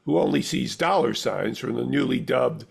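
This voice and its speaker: A man with a gravelly, husky voice reading a scripted audio theater review as the critic. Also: medium pitch, animated, moderate pace.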